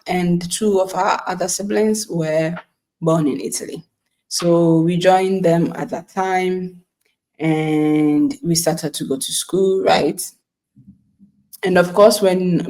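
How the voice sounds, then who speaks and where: A woman's voice: fairly low pitch, mellow. A woman in her thirties from Ghana, co-hosting a casual chat with her sister, teasing her and restarting her sentences often. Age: 30s. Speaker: podcast co-host.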